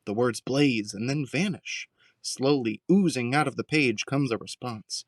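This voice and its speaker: A man's voice: medium pitch, unhurried, no echo, studio quality, recorded from a distance. A man narrating an audio drama from a script in a dramatic storytelling style.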